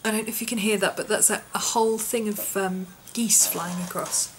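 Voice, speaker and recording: A woman, her voice hoarse and husky from an infection, chatting casually in a vlog with lots of ums and small laughs. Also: medium pitch, fairly even intonation, fairly quick, slight background noise, rough recording. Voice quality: husky, often whispery. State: hoarse, voice partly lost from infection. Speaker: vlogger.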